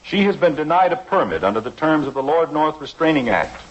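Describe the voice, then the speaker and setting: An actor playing an earnest, stern, principled middle-aged American father in a measured, lecturing 1950s radio drama style. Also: fairly high pitch, sing-song, lo-fi sound. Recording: noisy vintage 1950s radio broadcast.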